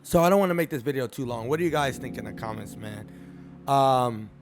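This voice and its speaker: A man giving a casual, animated opinion vlog and repeating phrases for emphasis, with music playing behind him. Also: mid-range voice, fairly quick. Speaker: sports commentary YouTuber.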